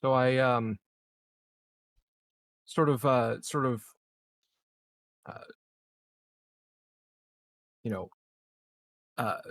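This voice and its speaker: A man with a medium-pitched voice speaks slowly as a player in a tabletop game. His delivery is casual and deliberate. The recording is dry. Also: fairly bright tone, dry recording.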